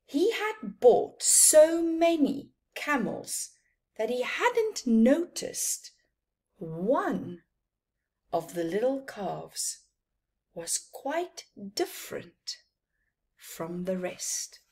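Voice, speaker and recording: A middle-aged South African woman, a native Afrikaans speaker, reads aloud for children. Her delivery is very slow and deliberate, with lively swings in pitch and teacherly emphasis. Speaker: children's storyteller. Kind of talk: expressive read-aloud storytelling to children. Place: small plain room at home, indoors.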